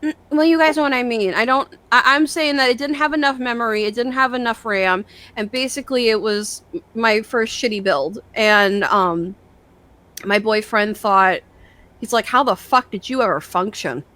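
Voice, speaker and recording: A young woman chatting casually, telling stories in a rambling, sweary, excitable way and acting out the dialogue. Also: a little high, moderate pace. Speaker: online show host. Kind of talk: casual chat, animated storytelling.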